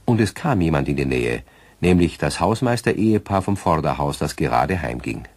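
A man with a husky voice playing the genial narrator of a children's radio play. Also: a bass voice, sing-song, normal speed, darkish tone, slight background noise, heavily acted. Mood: storytelling. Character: genial male storyteller of a children's tale.

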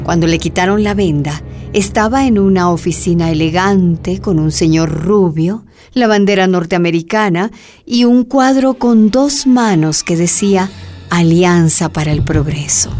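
A woman's voice: lowish voice, measured pace, a little mellow. A woman delivering dramatic scripted narration for a radio drama. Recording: music bed behind the voice.

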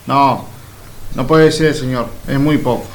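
A man playing a sly, patient country trickster in a storybook radio play, bargaining deliberately. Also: medium pitch, a little hiss or hum, lightly acted, low-quality recording. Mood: coy bargaining.

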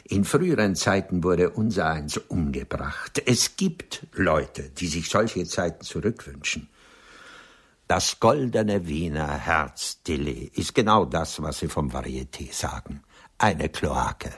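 An actor playing a proud, wounded Viennese doctor and writer in a radio play, speaking in deliberate, weighty phrases. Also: male voice, a bass voice, expressive, breathy edge, exaggerated voice. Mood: bitter, grave. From Austria. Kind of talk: German radio play acting.

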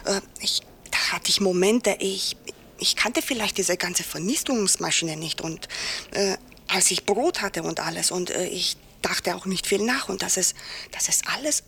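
A woman playing a troubled camp survivor giving testimony, halting and hesitant, breaking into whispered breath. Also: on the low side, lively intonation, vocal fry, a bit bright, heavily acted. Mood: troubled, fearful. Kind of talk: acted testimony interview.

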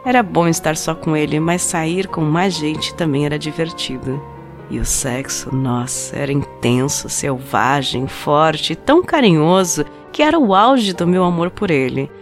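A woman plays a wistful, heartbroken young woman narrating her own love story for an audio drama. Her voice sits slightly low, intimate and confessional, with reflective pauses. Music plays prominently beneath her. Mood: longing, intimate. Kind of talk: dramatized first-person short story narration in a podcast. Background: music under the voice.

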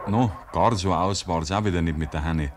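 Deep-voiced Bavarian storyteller played by an actor. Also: male voice, a little fast, a little hiss or hum, natural voice. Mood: wry, homely. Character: homely, wry Bavarian village storyteller. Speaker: narrator. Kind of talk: scripted dialect narration, 1950s radio play.

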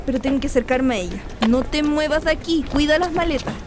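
Woman acting an anxious high-voiced young woman. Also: quick pace, close to an everyday voice. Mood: nervous, urgent. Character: anxious, eager young niece arriving with her sweetheart. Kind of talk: radio drama acting. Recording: noisy outdoor street-like ambience.

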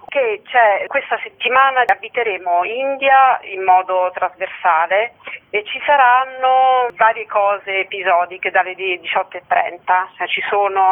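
A woman is being interviewed over the telephone, her voice squeezed into a narrow, tinny band. She lays out a programme of events as a guest would, often pausing to search for a word or correct herself. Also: medium pitch. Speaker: guest, theatre company member presenting a festival.

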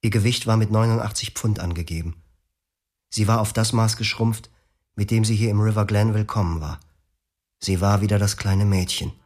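A man narrating a radio drama in the first person, quiet, grave and measured. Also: lowish voice, steady tone.

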